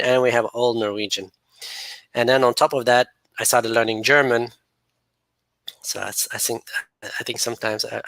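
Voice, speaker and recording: A middle-aged man whose first language was Arabic, hosting a relaxed livestream, rambling and reading out viewer comments. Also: medium pitch, vocal fry, dry recording. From grew up speaking Arabic and English, then England and Norway. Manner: relaxed, rambling, teasing with frequent laughs. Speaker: channel host reading live chat. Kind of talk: casual livestream chat.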